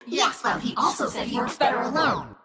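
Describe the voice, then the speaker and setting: A woman's voice: on the high side, very animated, a little fast, in a large hall, crowd noise. A woman playing a witty, urgent, fiercely loyal female AI companion in a video game, with a wry and dramatic delivery. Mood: bitter, unhinged.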